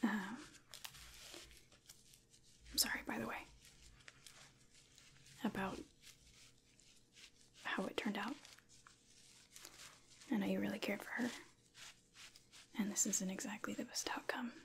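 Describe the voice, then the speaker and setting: A young woman playing a tough, sarcastic female rescuer in an ASMR roleplay, breathy, hushed and offhand. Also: mid-range voice, steady tone, slow, long pauses, a little hiss or hum. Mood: low-key, apologetic. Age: 20s. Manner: sardonic, offhand. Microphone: close microphone.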